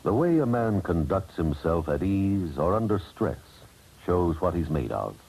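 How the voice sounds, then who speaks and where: A deep-voiced American man announcing in solemn 1950s radio style. His husky voice rises and falls widely, formal and emphatic throughout. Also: very animated, a little slow, darkish tone, rough recording.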